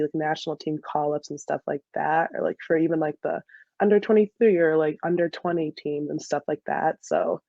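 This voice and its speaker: A woman's voice: deep voice, no room sound, dull audio. A young woman in a casual interview as a soccer player guest, peppering her talk with "like". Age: young adult. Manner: heavy use of "like" as she talks.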